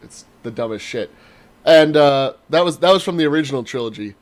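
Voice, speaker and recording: A man's voice: a little high, animated, brisk. A man co-hosting a podcast, giving opinions casually with a sardonic, sarcastic edge. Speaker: podcast co-host.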